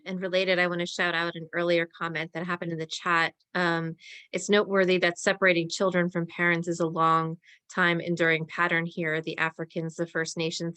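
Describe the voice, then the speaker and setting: Bright, low-voiced woman moderating, dry sound. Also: no echo. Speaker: talkback moderator. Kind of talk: moderating an audience Q&A.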